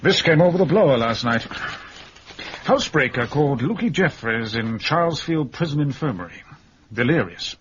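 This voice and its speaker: Blunt, animated English police detective. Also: male voice, medium pitch, normal speed. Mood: matter-of-fact. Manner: colloquial, blunt, matter-of-fact. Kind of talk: British period detective radio drama acting. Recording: muffled radio recording, clear background noise.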